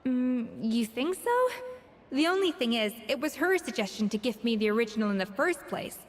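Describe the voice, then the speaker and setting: A woman's voice: on the high side, very animated, normal speed, in a large hall. A woman voicing a composed female head chef who speaks in a matter-of-fact, dryly dismissive way in a game.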